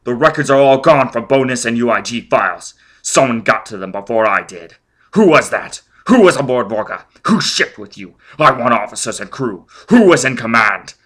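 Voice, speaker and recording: A man's voice: on the low side, measured pace, fairly bright tone. A man voicing a ruthless, vengeful man who barks demands and threats. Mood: shouting fury. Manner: menacing, barking demands. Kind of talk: audiobook character voice.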